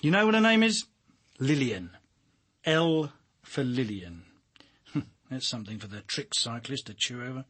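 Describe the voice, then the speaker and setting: An actor in a radio drama playing a world-weary, driven senior detective with a dry, blunt, commanding manner. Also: male voice, mid-range voice, animated, measured pace, long pauses, a bit bright, slightly rough. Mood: sardonic, musing.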